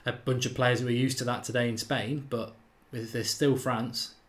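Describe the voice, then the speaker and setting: A man with a faint Irish accent hosts a live football watchalong. His voice sits at an ordinary middle pitch, and he talks casually, thinking aloud as he goes and reading out comments from viewers. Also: flat delivery, moderate pace. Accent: slight Irish. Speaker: host of a live match watchalong.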